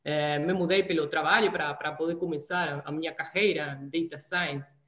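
A young man from Argentina with a clear Argentine Spanish accent, speaking as a native Spanish speaker, answers questions over a laptop webcam. He talks hesitantly, repeating and restarting words, and his voice rings in an echoey room at home. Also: fairly high pitch, steady tone, moderate pace, fairly bright tone. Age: young adult. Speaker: data scientist guest. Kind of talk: video call interview. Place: small room at home, indoors. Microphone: laptop webcam on video call.

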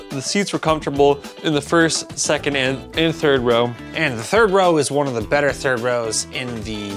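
A man's voice: mid-range voice, fairly bright tone. Loose, tipsy-sounding male car reviewer over music. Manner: loose, tipsy delivery with stumbles and drawn-out words. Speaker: host of a car review show. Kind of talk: casual scripted-list review to camera with asides. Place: small home office room with computer monitors. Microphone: lavalier clipped to shirt.

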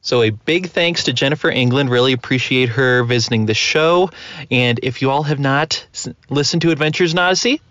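A man's voice: mid-range voice, a little fast, fairly bright tone, no echo. A man chatting casually as a podcast host. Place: inside a car. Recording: dull lo-fi, dry.

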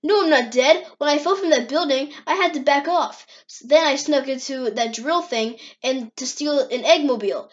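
A loyal young hedgehog hero played by a woman, high-pitched, casual and reassuring. It is a cartoon comic dub with dull, lo-fi sound. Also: normal speed, hardly any pauses, close to an everyday voice. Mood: relieved, teasing.

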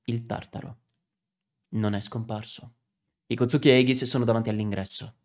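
A man's voice: medium pitch, fairly even intonation, moderate pace. A man, reading game subtitles aloud as a streamer. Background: game music and dubbed English game voices.

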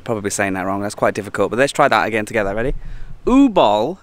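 A man, chatting casually to a handheld camera as he travels, talking to animals in a high playful voice now and then. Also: big pitch swings, fast. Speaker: travel vlogger. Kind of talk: casual travel vlog chat. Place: outdoors at a petting farm and a dam. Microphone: handheld camera at arm's length.

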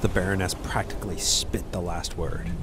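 A man's voice: low-pitched, fairly even intonation, moderate pace, a little hiss or hum. A man giving a scripted narration of a fantasy story as its narrator.

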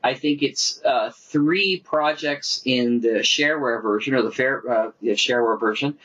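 A man's voice: mid-range voice, normal speed, fairly bright tone, highs cut off. Enthusiastic man chatting as podcast host. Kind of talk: casual chat.

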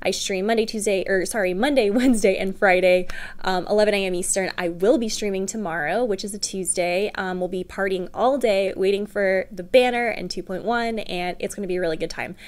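A young woman streamer hosting a casual game reaction, bubbly and excitable with lots of fillers, at home on a desk mic. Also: mid-range voice, brisk.

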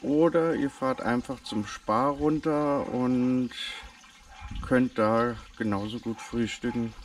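Slow, relaxed man vlogging from a distance. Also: medium pitch. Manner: relaxed, chatty, addresses viewers directly. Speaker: travel vlogger. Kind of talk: casual travel vlog narration. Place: outdoors on a road trip.

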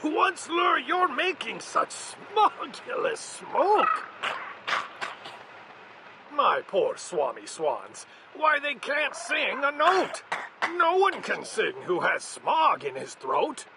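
Man as small scolding forest creature, storybook, indignant, emphatic, high voice, noisy recording. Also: huge pitch swings, slow, heavily acted. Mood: pleading. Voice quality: coughing, croaky.

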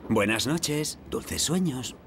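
A man's voice: mid-range voice, animated, slow, creaky. A man with a Castilian Spanish accent playing a friendly, playful train steward in a children's cartoon, coaxing as if to a small child. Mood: playful, kindly. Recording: music behind the voice.

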